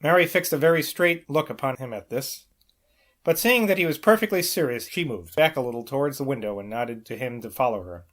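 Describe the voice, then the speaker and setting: Guy reading an old novel out loud, middle pitched voice, trips on a word now and then. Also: lively intonation, fairly quick. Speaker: audiobook reader. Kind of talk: scripted literary narration, novel read aloud.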